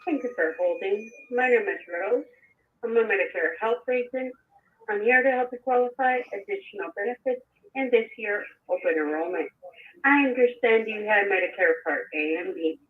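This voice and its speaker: A woman's voice: a little high, expressive, moderate pace. A woman telemarketer reading a formal script on a phone call, pressing for answers. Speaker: telemarketing caller. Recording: muffled, distant phone recording.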